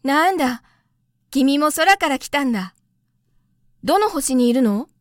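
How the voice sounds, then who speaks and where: Woman voicing a high, whimsical little boy prince. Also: expressive, unhurried, no room sound, clean studio sound. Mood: amused surprise. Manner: curious, carefree, self-absorbed.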